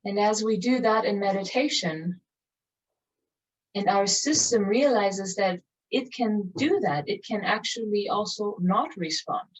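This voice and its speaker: Female meditation teacher, calm and measured, unhurried phrases with long pauses, mid-range pitch, recorded at a distance with dulled sound. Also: breathy edge. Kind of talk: guided meditation, spoken slowly with long pauses. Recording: distant mic, dull muffled sound.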